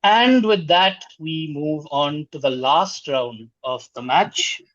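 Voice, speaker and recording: Quiz master, male, higher-pitched voice, reads questions and calls scores, dull online-call sound. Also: lively intonation, slow pace, a bit bright, dry recording. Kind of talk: reading out quiz questions and scoring answers.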